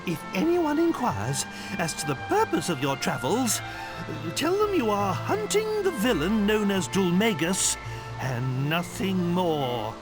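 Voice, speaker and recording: A man's voice: high voice, very animated, a little slow. An actor playing a pompous, doting old king in a video game, with regal, exclamatory phrasing. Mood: musing, commanding. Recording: music playing behind the voice. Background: game music.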